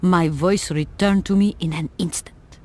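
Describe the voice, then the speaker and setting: A woman playing a gentle, affectionate elderly lady with a fond, genteel manner in a video game. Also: fairly low pitch, fairly even intonation, unhurried, close to an everyday voice. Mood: fond, wistful. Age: older.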